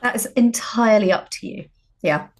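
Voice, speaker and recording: A woman with a husky, slightly rough voice at a medium pitch. She speaks informally, as an interviewer chatting with a guest. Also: normal speed. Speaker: writer and podcast interviewer. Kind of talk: casual chat.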